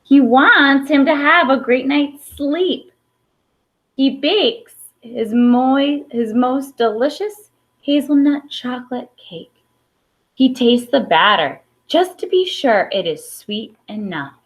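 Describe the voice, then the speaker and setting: A woman reading a children's picture book aloud expressively, with pauses for emphasis and small asides. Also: high voice, lively intonation. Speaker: storybook reader. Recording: dull, lo-fi sound.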